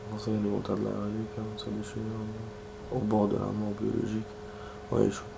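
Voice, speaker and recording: Man with a cold, gaming commentary, low pitch, faint hiss in the background. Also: fast. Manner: low, flat, gravelly delivery with muttered asides. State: has a cold, clearing his throat. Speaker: gaming streamer. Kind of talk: casual gameplay commentary, reading in-game text aloud.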